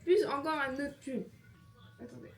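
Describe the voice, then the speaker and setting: A woman's voice: high voice, normal speed. A young woman from France chatting casually in a travel vlog, slangy and laughing often. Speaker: vlogger. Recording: music over the audio.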